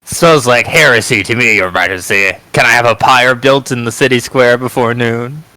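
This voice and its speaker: A man playing a stern, zealous inquisitor advising a king in an amateur game, forceful and menacing. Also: medium pitch, normal speed, no echo, low-quality recording. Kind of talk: amateur role-play in a party game.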